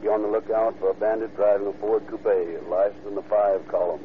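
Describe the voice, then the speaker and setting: A man performing as a brisk police radio dispatcher, reading a procedural bulletin in 1930s style. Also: fairly low pitch, natural voice. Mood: official, urgent. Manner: flat, procedural. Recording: noisy, narrow-band vintage police radio sound.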